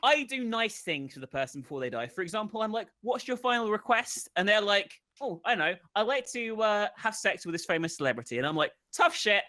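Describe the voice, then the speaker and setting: A man improvising a boastful, playful job pitch in a comedy card game, laughing as he goes. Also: animated, a little fast, hardly any pauses, sharp tone.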